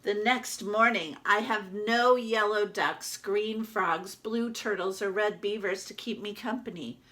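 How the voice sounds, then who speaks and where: A woman reading a children's picture book as a small, timid yellow toy duck, with expressive, dramatic narration. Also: mid-range voice, a little slow. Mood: wistful storytelling.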